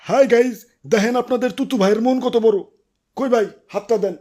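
A man's voice: very high voice, fairly quick, darkish tone, a little hiss or hum. A man voicing a vain, self-important young content creator in a comic cartoon, boastful and tripping over his words. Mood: showy.

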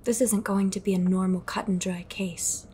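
A woman playing a grave, cryptic cult fighter in an audio drama, speaking in a measured, breathy way. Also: medium pitch, fairly even intonation, mellow, a little hiss or hum, natural voice. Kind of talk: dramatic audio roleplay acting.

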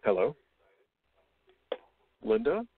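A male radio host chatting in an effusive, halting way, repeating himself, over a phone-like line. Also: mid-range voice, huge pitch swings. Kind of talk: casual radio interview. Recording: phone-like narrow band line.

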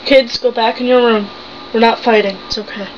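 A seventeen-year-old young woman acting a betrayed, hurt and angry wife and mother in an improvised dramatic monologue, accusing with halting, emphatic phrases. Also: high voice. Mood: hushed, reassuring. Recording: muffled home recording with hum.

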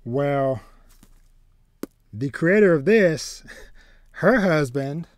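A man in his thirties chatting casually as a podcast host, haltingly, often repeating words as he goes. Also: a little high, animated. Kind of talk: casual chat about manga and anime. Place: small home room with bookshelves.

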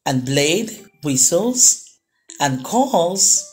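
A woman reading a children's story aloud, with dramatic phrasing and drawn-out pauses. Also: fairly low pitch, sing-song, darkish tone, faint music behind. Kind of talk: expressive read-aloud storytelling for young children.

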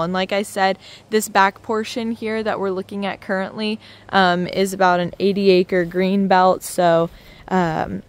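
A woman real estate agent giving an upbeat, chatty walking tour of a house, filming it herself on a phone. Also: fairly low pitch. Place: inside and around a house, voice-over while filming.

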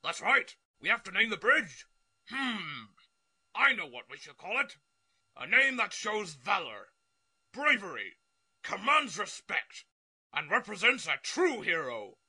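A man voicing a blustering, forceful townsman in a cartoon parody, declaiming and shouting with a raspy edge. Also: sits high, sing-song, a little slow, heavily acted. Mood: grandly declaiming. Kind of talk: comedic anime parody voice acting.

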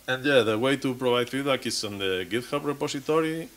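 A man's voice: medium pitch, brisk, fairly bright tone. A man in his thirties giving a technical conference talk on stage at a podium mic, with frequent restarts and repeated words. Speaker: conference speaker.

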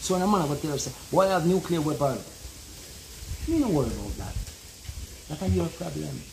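A middle-aged Jamaican man, a guest in an animated outdoor conversation, who talks emphatically and keeps asking "you see it?". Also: fairly high pitch, moderate pace, vocal fry, a little hiss or hum, low-quality recording. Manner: emphatic, repeats "you see it?", gestures while talking. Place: open-air pavilion in a garden.

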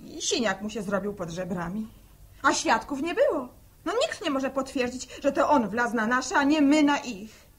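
An actress playing a plain-spoken, fiery farmer's daughter, earnest and dramatic, in an old radio drama. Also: female voice, high voice, sing-song, normal speed, slightly rough, exaggerated voice. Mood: agitated. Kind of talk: 1960 radio drama acting.

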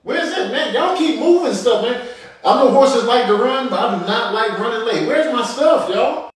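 A man's voice: very high-pitched, expressive, moderate pace, very echoey, far from the mic, pitched above the performer's own voice, poor audio. A man voicing a vain, flustered horse in a comic voiceover, complaining fussily and repeating himself. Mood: flustered, irritated. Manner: fussy, repetitive complaining. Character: flustered, vain male horse running late.

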